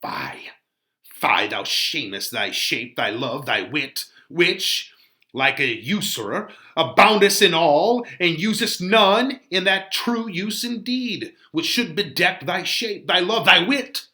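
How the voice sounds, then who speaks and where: Man performing an exasperated, scolding holy friar, bright and forceful. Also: fairly high pitch, animated, takes it slowly, heavily acted. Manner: declamatory, rhetorical, scolding. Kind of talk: dramatic Shakespeare reading.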